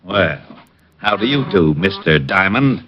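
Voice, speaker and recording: An actor playing a gruff, exasperated police lieutenant in an old radio detective drama, blunt and dry. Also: male voice, low-pitched, lively intonation, measured pace. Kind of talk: 1940s-50s radio detective drama acting. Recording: dull old radio recording, faint noise.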